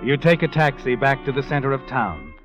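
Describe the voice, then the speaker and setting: An actor playing a sly, knowing narrator who taunts the villainess in 1940s radio suspense style. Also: male voice, mid-range voice, very animated, fairly quick, close to an everyday voice. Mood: ominous. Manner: insinuating, taunting. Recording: muffled old radio recording with music.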